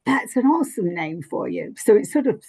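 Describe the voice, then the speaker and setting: A woman's voice: fairly high pitch, very animated, moderate pace, a little mellow, breathy edge. An older woman with an English accent, chatting as a podcast guest with lots of fillers and restarts. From England. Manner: rambling, many fillers and restarts. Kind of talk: casual interview chat.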